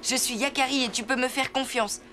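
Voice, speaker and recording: A woman's voice: sits high, fairly even intonation, a little fast, natural voice. A woman voicing a brave, kind and determined young boy in a French cartoon. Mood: reassuring.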